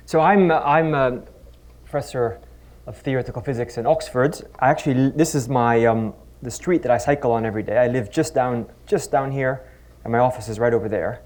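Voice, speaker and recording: A man's voice: mid-range voice, fairly quick, a little mellow. A middle-aged man from the Netherlands, a physics professor giving a conference talk with wry, self-deprecating asides and frequent restarts. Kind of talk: conference talk with humorous anecdotes. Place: conference stage before a live audience.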